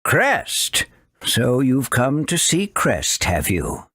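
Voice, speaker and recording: A man's voice: medium pitch, wildly swooping pitch, very slow, bright, forceful tone, breathy edge, dry recording, clean studio sound, exaggerated voice. A man voicing an anxious, wary talking owl for a video game, dramatic and exclaiming. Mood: grave, wary. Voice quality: hooting cries. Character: anxious old talking owl, wary guardian of a sick girl. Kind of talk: video game character acting.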